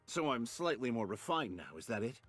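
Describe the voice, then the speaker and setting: Husky, weary military commander. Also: male voice, mid-range voice, sing-song, no room sound, faint music behind. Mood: wry. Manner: measured, grave. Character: weary, idealistic male military commander, quietly determined. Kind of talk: scripted game voice acting.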